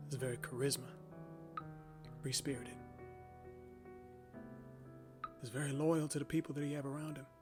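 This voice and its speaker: Radio drama: male actor as earnest young wrongfully jailed man, conversational testimony, noticeably creaky voice, light music underneath. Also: mid-range voice, natural voice. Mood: warm, reflective. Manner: halting, conversational, with small repetitions.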